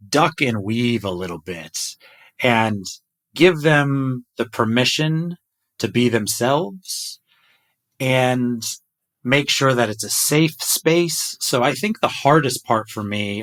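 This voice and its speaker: A man's voice: mid-range voice, fairly bright tone. A middle-aged man hosting a podcast, talking in an earnest, reflective way. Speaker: podcast host. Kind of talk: casual podcast chat.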